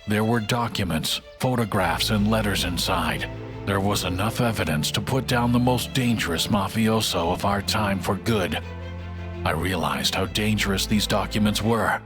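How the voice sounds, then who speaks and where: A vengeful ghost of a murdered scientist for a mystery game. The voice is low and grave, recounting the past with solemn weight. Loud music plays beneath it. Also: male voice. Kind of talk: dramatic scripted monologue in a mystery game.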